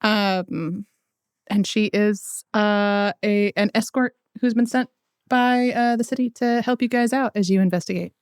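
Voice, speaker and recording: A woman guest on a tabletop game podcast, talking hesitantly with quick asides. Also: mid-range voice, fairly even intonation, clean tone, no echo, clean studio sound. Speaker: guest player, social media manager. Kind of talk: casual podcast chat.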